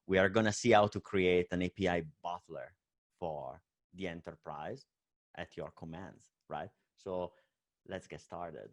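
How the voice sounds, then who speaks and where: Deep-voiced Italian man giving a tech talk. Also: unhurried. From Italy. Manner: many fillers and hesitations, frequent "right?" tag. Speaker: conference speaker. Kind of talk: conference talk presentation.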